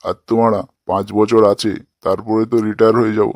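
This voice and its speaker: Man playing a low-voiced grumpy old grandpa. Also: little pitch movement, fast talker, a little mellow, no room sound, clean studio sound, natural voice. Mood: indignant. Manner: complaining, coarse, swearing. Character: grumpy, lonely old grandpa wanting a bride. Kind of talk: comic cartoon dialogue.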